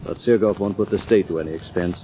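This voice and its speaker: Guy as calm urbane sleuth explaining stuff, old radio drama, muffled with faint hiss. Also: deep voice, fast, natural voice. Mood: urbane, assured. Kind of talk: 1940s radio acting.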